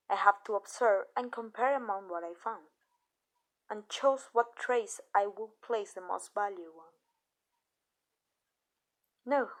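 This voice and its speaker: A woman acts out a female vampire who owns a human and talks to them in a calm, possessive way. Her speech is soft and measured, with deliberate pauses, at a middling pitch for a woman. The delivery is an intimate ASMR roleplay monologue. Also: lightly acted. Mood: calm, matter-of-fact. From Mexico. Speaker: amateur voice actress.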